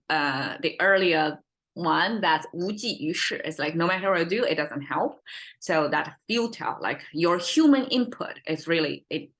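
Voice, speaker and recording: A woman's voice: on the low side, fairly bright tone. A woman with a Chinese accent, a native Mandarin speaker, giving an informal language lesson in a rambling, self-correcting way. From China. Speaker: language teacher, podcast host.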